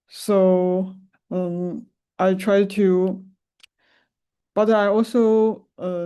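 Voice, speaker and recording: A woman talks slowly over a video call, pausing on fillers as she presents her work. Her voice is dark and smooth, and she speaks in a flat, even way. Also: mid-range voice. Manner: hesitant, many fillers. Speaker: masterclass participant. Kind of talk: presenting her project in an online class.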